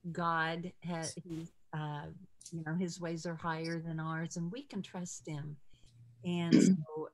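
An older woman leading an informal ministry video call, speaking in an encouraging, halting way from a room at home. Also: fairly low pitch, rough recording. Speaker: ministry leader hosting a call. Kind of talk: informal prayer-call talk. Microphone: video call computer mic.

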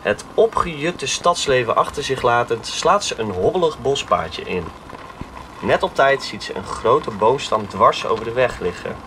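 A man's voice: mid-range voice, expressive, moderate pace, noisy background, close to an everyday voice. Young man as a suspenseful horror story narrator. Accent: Netherlandic Dutch. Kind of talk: scripted narration.

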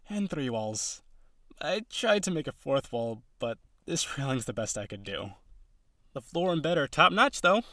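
A man's voice: medium pitch, fairly bright tone, no room sound, studio quality. A man voicing an eager, awkward, insecure young male harpy in an audio roleplay, talking to the listener in an earnest, rambling, nervous way.

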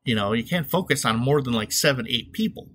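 A man's voice: medium pitch, animated, brisk, fairly bright tone, husky. A man hosting a comedic podcast, talking casually about movies in a sarcastic, irreverent way. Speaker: podcast host.

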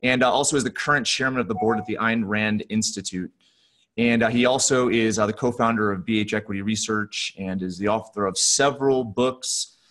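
Low-pitched male podcast host, halting delivery. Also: a bit bright. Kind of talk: conversational podcast interview. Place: small furnished room at home or office, on a video call.

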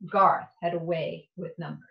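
A woman reading a children's picture book aloud in an expressive, playful and deliberate way. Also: low-pitched, vocal fry. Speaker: storybook reader. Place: indoor room at home. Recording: echoey room, dull lo-fi sound.

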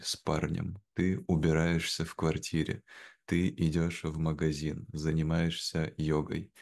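A young man speaking in a measured, careful way, as a guest in a slow conversation for language learners. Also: very deep voice, gravelly, a little mellow. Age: young adult. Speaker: interview guest. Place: indoor room at home, video call. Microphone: video call, wearing headphones.

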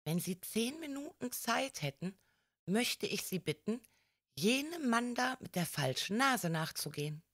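Woman reading as a gentle, unhurried male priest. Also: fairly high pitch, very animated, no room sound, clean studio sound, pitched above the performer's own voice, heavily acted. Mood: gentle, polite. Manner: mild, slow and hesitant. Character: gentle, hesitant, absent-minded male priest. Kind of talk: audiobook character dialogue.

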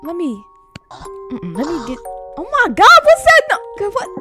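Woman as a high-pitched, jumpy young woman. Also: wildly swooping pitch, moderate pace, bright, forceful tone, faint music behind, full character voice. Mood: frightened. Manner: stammering, repeating words, panicky, sassy. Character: jumpy, bossy young woman at a scary sleepover. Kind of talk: improvised amateur voiced roleplay comedy.

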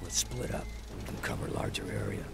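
An actor playing a grim, cold masked vigilante in a video game, gravelly, clipped, terse and deadpan. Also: male voice, a little fast, close to an everyday voice. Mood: grim, flat. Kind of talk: video game character acting. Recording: loud game music behind.

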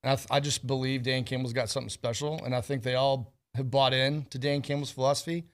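A man talking as a guest on a sports radio show, casual and halting but enthusiastic. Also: medium pitch, level tone.

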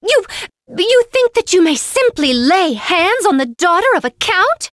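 A haughty young noblewoman from a video game, speaks in a high voice that swoops with pouty indignation. She takes her time, pompous and demanding, in a dry, close recording. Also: big pitch swings, unhurried, a bit bright, no echo, exaggerated voice. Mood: indignant, pouty. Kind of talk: video game character voice lines.

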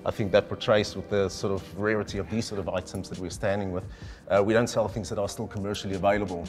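A man's voice: on the low side, steady tone, quick pace. A middle-aged man, speaking as a wine specialist in an enthusiastic, explanatory way, standing in a decorated indoor room. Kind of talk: conversational presentation.

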